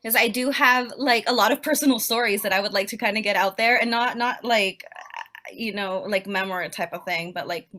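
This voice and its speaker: A young woman from Peru, an author being interviewed, chatting casually with lots of "like" and quick laughs over a video call. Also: mid-range voice, bright, forceful tone. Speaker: author guest.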